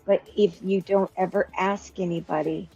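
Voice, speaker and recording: A woman's voice: on the low side, fairly even intonation, moderate pace, gravelly, dark tone, husky, highs cut off, soft background music. A woman co-hosting a casual chat, chatty and giggly, trailing off when she is unsure. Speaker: co-host.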